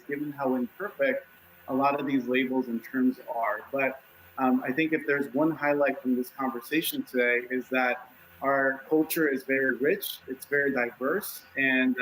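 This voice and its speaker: A man in his thirties from Los Angeles, a community leader speaking in a measured, reflective way on a panel. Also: mid-range voice, a bit bright, slight background noise, poor audio. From Los Angeles, United States. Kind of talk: panel discussion.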